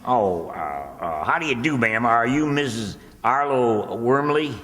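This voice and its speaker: A man's voice: mid-range voice, animated, a little slow, fairly bright tone. A man playing an exasperated, bossy country bill collector in a radio comedy, insistent and folksy in his rural grammar. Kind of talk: old-time radio comedy acting on stage. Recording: echoey hall with long reverb.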